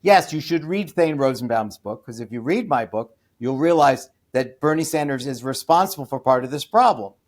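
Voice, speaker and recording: An American man, a podcast guest and author, giving opinionated interview answers with many restarts and fillers, then bursting into loud, scornful emphasis. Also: mid-range voice, lively intonation, moderate pace, hardly any pauses. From United States.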